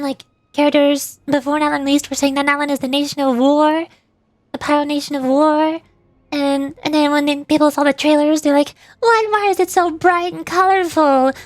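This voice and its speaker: A woman's voice: high-pitched, no echo. A woman doing casual livestream commentary on a game, chatty and playful as she talks to her viewers. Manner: chatty, reacting to chat and playfully calling out moves. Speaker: VTuber streamer playing a game.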